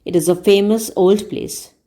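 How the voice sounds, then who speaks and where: A woman reading a comic novel aloud as a storyteller, in measured read-along narration with expressive emphasis. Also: mid-range voice.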